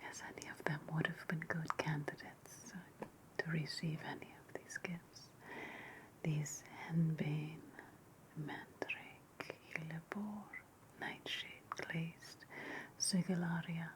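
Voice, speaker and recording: A woman playing a sinister, sardonic ancient Roman witch in a whispered ASMR roleplay, speaking deliberately and ritualistically, often repeating phrases. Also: deep voice, fairly even intonation, poor audio. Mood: solemn, secretive.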